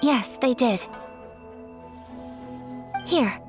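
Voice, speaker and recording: A woman voicing a modest young noblewoman in a video game, with refined, measured, formal politeness. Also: high-pitched, music in the background. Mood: polite. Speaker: game character voice actor. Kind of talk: video game dialogue acting.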